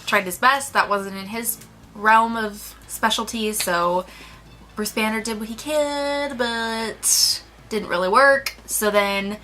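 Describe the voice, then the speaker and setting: Female review co-host, very bright voice, casual and chatty delivery. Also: on the high side, slow pace.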